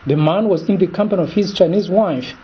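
Guy reporting the news, husky highish voice, formal, kinda muffled audio. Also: a little fast. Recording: dull lo-fi sound.

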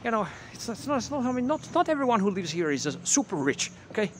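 A man's voice: high voice, big pitch swings, fast, fairly bright tone. Man narrating a street walk, hesitant. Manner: hesitant, many fillers and restarts. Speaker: walking tour guide. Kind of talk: casual walking tour narration. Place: quiet residential street outdoors. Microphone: handheld camera mic while walking. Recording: clear outdoor street noise.

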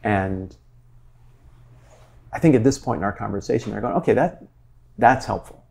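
Guy in his 40s hosting an interview, gravelly low voice, earnest and thinking out loud. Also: moderate pace. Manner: earnest, searching, animated with hands. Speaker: interviewer, show host. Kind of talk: conversational interview. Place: wood-panelled library with bookshelves.

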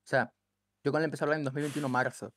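A man's voice: a little high, steady tone, racing, a bit bright. A man born in Cuba, a guest on a casual podcast, rambling and dropping slang like loco and brother. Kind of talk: casual chat.

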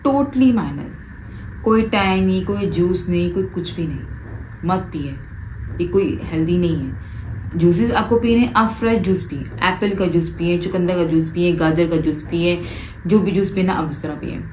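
A woman's voice: on the low side, a little slow, roomy sound, a little hiss or hum, low-quality recording. A woman, giving direct, coaxing advice to viewers in a casual vlog from her living room. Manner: animated, gestures a lot with both hands. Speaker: vlogger. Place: living room, seated on a sofa.

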